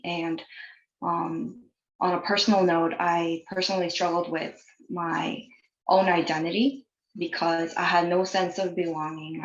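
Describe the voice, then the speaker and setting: A young woman from New York, speaking as a panelist and sharing personal remarks in an earnest, measured way over a video call. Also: fairly low pitch, roomy sound, highs cut off.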